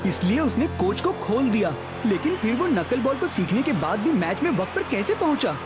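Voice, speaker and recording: A pompous cartoon detective. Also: male voice, high-pitched, very animated, brisk, over music. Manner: pompous, theatrical. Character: bumbling self-important mustached male detective. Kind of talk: Hindi cartoon dub.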